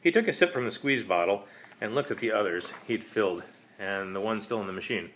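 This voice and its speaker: A man's voice: lowish voice, a little fast, fairly bright tone, slight background noise, rough recording. A man, an author, reading his own short story as dramatic scripted narration.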